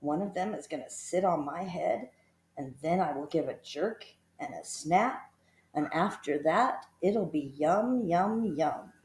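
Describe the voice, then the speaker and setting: A woman voicing a sly, hungry male crocodile who mutters his schemes in a low, sneaky voice. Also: animated, a little slow, darkish tone, echoey room. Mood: scheming.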